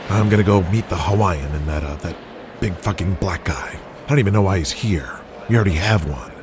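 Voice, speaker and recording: A man playing a crude, scheming wrestling promoter in an audio drama, blunt, profane and commanding. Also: deep voice. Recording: noticeable background noise.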